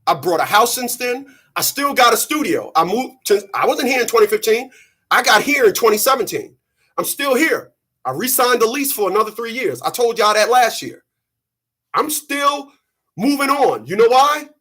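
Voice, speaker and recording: A man in his thirties, sweaty after a run, giving an animated, preachy monologue to his channel's viewers. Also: extremely high pitch, normal speed, husky. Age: 30s. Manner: animated, preachy, mocking quoted voices. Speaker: channel host. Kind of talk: casual monologue to camera.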